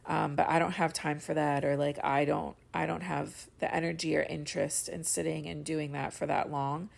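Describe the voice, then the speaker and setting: Young woman host, low-pitched and heavily gravelly, congested and a bit cracky, chatty voiceover with lots of fillers. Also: flat delivery, normal speed. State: stuffy and congested. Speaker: card-making channel host. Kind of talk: casual voiceover of a coloring tutorial. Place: home studio voiceover.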